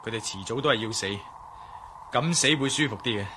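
A man's voice: mid-range voice, brisk, lightly acted. A man acting an alert, suspicious, decisive adventurer hero in a Cantonese radio drama, with an urgent, commanding manner. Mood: grave. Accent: Cantonese, Hong Kong. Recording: faint background noise.

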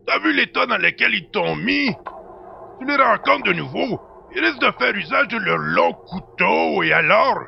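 Gravelly, high-pitched old space pirate. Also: male voice, normal speed, dull audio, slight background noise, heavily acted. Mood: worried, reluctant. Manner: animated, repeats himself, calls the hero "boy". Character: gruff, anxious old space pirate sidekick, male. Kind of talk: science-fiction audio drama acting.

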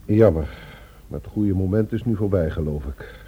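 An actor playing a calm, concerned but firm superior and friend in a Dutch radio drama, speaking deliberately. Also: male voice, low-pitched, muffled recording, slight background noise, natural voice.

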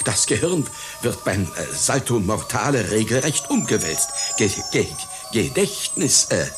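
An actor playing a vain, forgetful older circus artiste in a satirical radio play, stammering and querulous. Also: male voice, on the low side, big pitch swings, measured pace, music in the background. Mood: pompous, fussy.

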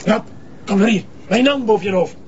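A man's voice: high-pitched, a little fast, darkish tone, dull audio, slight background noise, lightly acted. A man with a Flemish accent playing a volatile, paranoid fugitive in a TV crime drama, snapping suspiciously and with agitation. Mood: jumpy. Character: volatile, paranoid armed male alcoholic fugitive. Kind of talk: tense TV crime drama acting.

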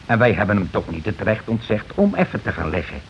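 An actor playing a domineering, self-justifying working-class husband in a radio play, talking in an insistent, colloquial, repetitive way. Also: male voice, on the low side, sing-song, fast. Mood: scheming, persuasive. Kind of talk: Dutch radio play acting. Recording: dull, noisy old radio recording.

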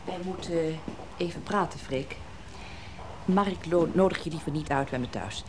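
An actress playing a domineering, possessive woman in a radio drama, fussing and sharp-tongued. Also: low-pitched, measured pace, noisy background. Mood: menacing, bitter.

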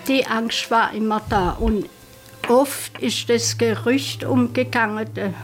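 A woman's voice: a little high, slow pace, faint music behind. A woman recalling her past in an interview, speaking informally. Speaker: interviewed witness. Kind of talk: spoken recollection in an interview.

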